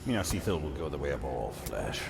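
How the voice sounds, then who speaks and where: Very deep, gravelly wraith lord. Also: male voice, big pitch swings, quick pace, heavily acted. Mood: stern. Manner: solemn, commanding. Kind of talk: cinematic fantasy game acting.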